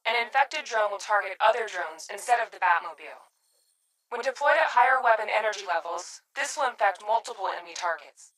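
Onboard computer for a game: mid-range, neutral, scripted tech briefing. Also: female voice, medium pitch, expressive, a little fast, fairly bright tone, dry recording, poor audio. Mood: impersonal. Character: impassive female onboard computer.

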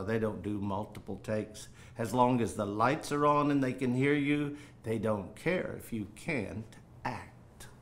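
A man's voice: medium pitch, fairly bright tone, lightly acted. An actor playing a pompous, tyrannical film director, theatrical and condescending as he barks orders in a comedy. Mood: bossy.

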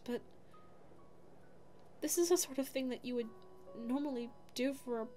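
A woman's voice: high voice, darkish tone, natural voice. A woman voicing a sweet, flustered, lovestruck young female dog secretary in an ASMR audio roleplay, stammering and self-effacing. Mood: shy, smitten. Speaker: voice actor.

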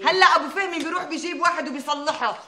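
A woman's voice: sits high, racing. An actress with a Syrian accent playing a sardonic wife who placates her husband and father in a TV comedy. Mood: placating. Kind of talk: TV comedy drama acting. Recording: echoey room, loud music behind.

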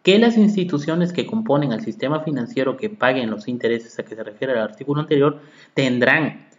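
A Mexican accountant lecturing on tax law, reading legal articles aloud and then explaining them with rhetorical questions to his listeners. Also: fairly high pitch. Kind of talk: podcast lecture. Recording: echoey room, dull lo-fi sound.

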